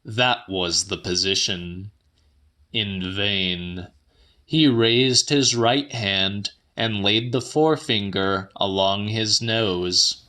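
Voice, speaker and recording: A man reading literary fiction as an audiobook, with deliberate, precise and slightly dramatic delivery. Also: fairly low pitch, bright, forceful tone. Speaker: audiobook narrator.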